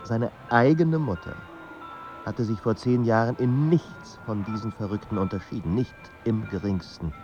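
A man narrating a melancholy literary radio drama in a measured, sombre manner. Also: lowish voice, darkish tone, highs cut off, soft background music, close to an everyday voice.

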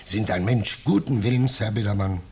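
An actor playing an ingratiating, self-pitying vagrant, mock-humble and plaintive, in a 1950s German radio drama. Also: male voice, lowish voice, fairly quick, darkish tone, breathy edge. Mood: plaintive, reproachful. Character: wheedling, self-pitying male vagrant, falsely humble houseguest. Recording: noisy, clicking 1950s radio recording.

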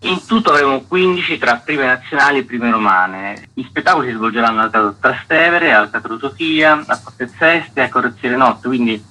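Bright-voiced man explaining in an interview. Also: medium pitch, lively intonation, a little fast, hardly any pauses, poor audio. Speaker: festival artistic director, interview guest.

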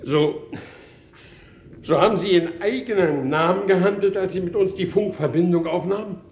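A man acting a suspicious war minister in an old radio play. His voice sits a bit high and he interrogates imperiously, quick to exasperation. The room echoes with faint noise. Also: darkish tone, natural voice. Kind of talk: 1960s radio drama. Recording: echoey, slightly noisy old radio drama.

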